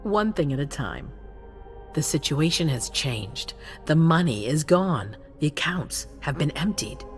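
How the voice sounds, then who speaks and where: A woman playing a cold, calculating female vampire prince in a video game, with a regal, controlled and sometimes hushed delivery. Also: sits low, very animated, a little slow, soft background music. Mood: cold, concerned. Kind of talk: dramatic video game acting.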